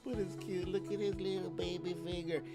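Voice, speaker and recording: A man's voice: sits high, little pitch movement, fairly quick, creaky, loud music behind the voice. A man hosting a live stream in casual, playful commentary, chatting to viewers in slangy phrases that he often repeats.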